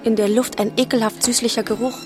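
Woman acting grave chorus narrator, fairly high voice, stark measured phrases, close dry studio, light music. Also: steady tone, close to an everyday voice. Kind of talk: literary radio drama narration.

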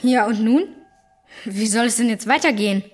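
A woman's voice: on the high side, normal speed, soft background music, lightly acted. A woman voicing a cheeky, bold young boy in an audio story.

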